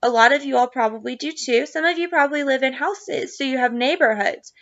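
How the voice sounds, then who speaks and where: Bright, high-voiced woman reading to children. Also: a little fast, no echo, lo-fi sound. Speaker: teacher reading a book aloud to children. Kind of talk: read-aloud of a children's novel with casual asides.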